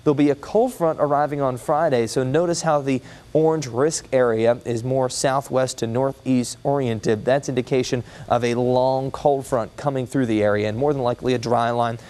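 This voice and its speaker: A man's voice: medium pitch, steady tone, moderate pace, darkish tone. American TV weatherman. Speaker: TV weather forecaster. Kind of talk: ad-libbed broadcast weather report.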